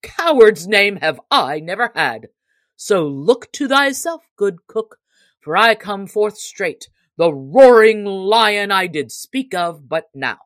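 A woman's voice: mid-range voice, big pitch swings, slow, fairly bright tone, dry recording, voice pushed up. A woman voicing a bold, hungry, teasing male outlaw in an old storybook, with boastful archaic speech. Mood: defiant.

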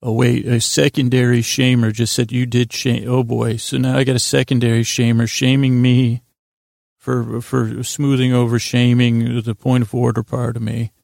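A man with a gravelly, creaky voice hosts a sleep podcast in a close, dry recording. He speaks in lulling tones at a middling pitch. His monologue wanders and halts, gently poking at himself as it goes. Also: flat delivery, no echo. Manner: meandering, halting, gently self-deprecating. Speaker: podcast host.

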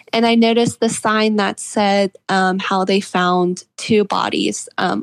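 A woman in her twenties with an American accent speaks with noticeable vocal fry in a dry, close recording. She answers as an interview guest, earnest and agreeable, in a conversational style. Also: mid-range voice, no echo. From Charleston, West Virginia, United States. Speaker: guest author.

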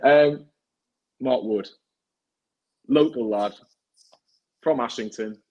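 A man's voice: medium pitch, takes it slowly, darkish tone, lo-fi sound. A young man hosting a football podcast, chatty and teasing with rambling asides. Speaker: podcast host.

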